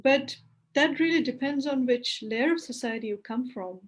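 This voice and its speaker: Higher-voiced woman panelist. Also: normal speed. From India. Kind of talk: panel discussion.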